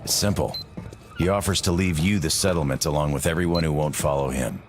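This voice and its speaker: A man's voice: brisk, background noise. A man playing a laconic, easygoing soldier in a video game cutscene, speaking briefly and with dry understatement. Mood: dry, matter-of-fact. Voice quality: very deep, low voice. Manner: brief, understated, dryly wry. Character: laconic American soldier, easygoing man who wants home.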